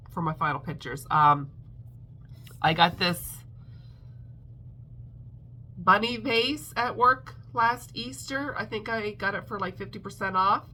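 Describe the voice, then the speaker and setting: A woman with a lilt talks through her crafting week in a slow, unhurried ramble, full of ums and small laughs. Her voice is bright and a little tense, thickened by a stuffed-up nose. A faint background hiss sits beneath her. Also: on the low side. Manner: chatty, rambling, laughs often, many ums. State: congested, stuffed up. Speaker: crochet vlogger. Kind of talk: casual chat to camera. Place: at home.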